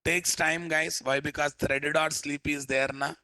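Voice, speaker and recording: Rough-voiced male instructor. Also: on the high side, level tone, unhurried, pushed and bright, dry recording, studio quality. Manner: scolding, teasing, keeps asking class questions. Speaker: programming instructor. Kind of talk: live coding class lecture.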